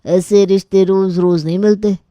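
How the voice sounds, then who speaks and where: Storybook priest and matchmaker, woman performer, courteous, steady and reassuring, smooth dark tone, no room sound. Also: medium pitch, moderate pace, no echo, highs cut off, lightly acted.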